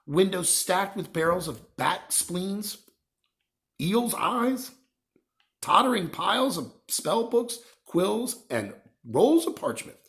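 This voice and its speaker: Animated man reading a storybook. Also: high-pitched, slow, gravelly. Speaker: reader, storyteller. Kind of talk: expressive read-aloud of a children's novel.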